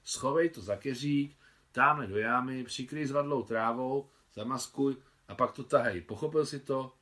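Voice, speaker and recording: A gentle, fatherly soldier with a thick moustache, read by a man, speaking kindly to children in a storybook. The voice is somewhat raised above the reader's usual tone and keeps a bright, forceful ring. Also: mid-range voice, voice pushed up, close to an everyday voice. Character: kindly mustached older soldier.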